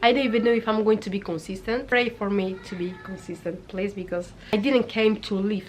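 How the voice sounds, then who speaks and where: A woman's voice: mid-range voice, fairly even intonation, a little fast. Upbeat young woman vlogging, faint hiss. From Senegal. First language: not English. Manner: chatty, upbeat, repeats for emphasis. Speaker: YouTuber. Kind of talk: casual talking-to-camera vlog.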